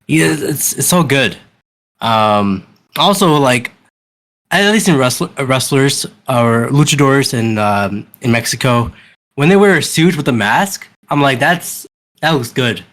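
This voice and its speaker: A man's voice: medium pitch, lively intonation, a little slow, fairly bright tone. A man hosting a casual podcast chat, enthusiastic and restarting his sentences often. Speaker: podcast host.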